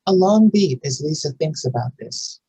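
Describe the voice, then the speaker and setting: A narrator delivers screenplay directions in a scripted reading. The voice is husky and sits at a middle pitch. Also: lively intonation, normal speed, warm tone, low-quality recording.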